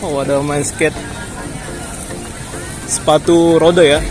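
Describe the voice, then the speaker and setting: A man's voice: on the high side, level tone, slow. A man from Jakarta with a Batak accent, chatting casually to his viewers while walking through a city street. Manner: chatty, keeps addressing viewers as friends. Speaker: vlogger. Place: busy city street outdoors. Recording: street crowd and music behind. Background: crowd and music.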